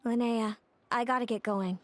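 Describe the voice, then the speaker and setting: An actress playing a composed, courteous young rival in an anime-style video game, speaking in a measured, gracious manner. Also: female voice, fairly high pitch, fairly even intonation, soft background music, close to an everyday voice. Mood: gentle, earnest. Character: composed, courteous young rival competitor, gentle older sibling.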